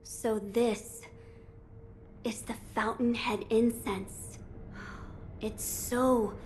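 A woman voicing a gentle, solemn young boy lord in a video game, speaking in a measured, formal, earnest way. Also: on the high side, fairly even intonation, slight background noise. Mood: soft, pensive. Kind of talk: scripted video game dialogue.

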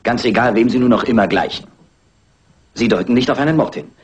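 Fast, exasperated police inspector played by a man. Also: medium pitch, slightly rough, close to an everyday voice. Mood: exasperated, dismissive. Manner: weary, dismissive, emphatic. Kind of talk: German radio crime drama acting.

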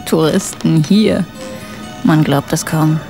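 An actress playing a guarded village shopkeeper in a German radio play, dry, curt and matter-of-fact. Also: female voice, deep voice, slow pace, dark tone, faint music behind. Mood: dry, obliging.

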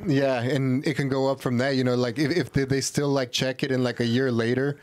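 Young man, medium pitch, explaining informally. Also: little pitch movement, a little fast, no room sound. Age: young adult. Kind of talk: casual group podcast chat. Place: small room with couches, indoors. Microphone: handheld microphone.

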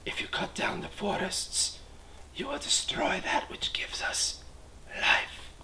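A man voices tree porcupines in a soft, airy whisper. The voice is hushed and secretive. They sound like small storybook creatures. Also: high-pitched, slow pace, gravelly, voice pushed up, exaggerated voice.

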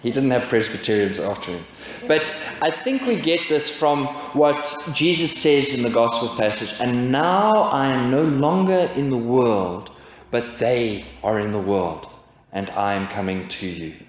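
Slow-preaching man in an echoey church. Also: mid-range voice, animated. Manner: measured preaching with rhetorical questions. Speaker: pastor. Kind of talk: sermon. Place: church, indoors at a pulpit. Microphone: pulpit stand mic. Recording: echoey church, dull lo-fi sound.

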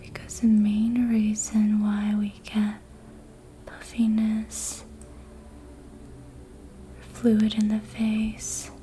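A woman whispering softly and breathily in a gentle, soothing ASMR style, guiding a facial treatment in short phrases. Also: mid-range voice, monotone, very slow, pauses often, a little hiss or hum. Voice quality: soft, breathy unvoiced whisper. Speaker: host, reiki and facial massage practitioner. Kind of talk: ASMR, slow guided narration of a treatment.

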